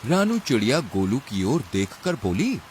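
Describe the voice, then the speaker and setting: A man's voice: medium pitch, big pitch swings, moderate pace, a little hiss or hum. A man narrating a scripted children's story.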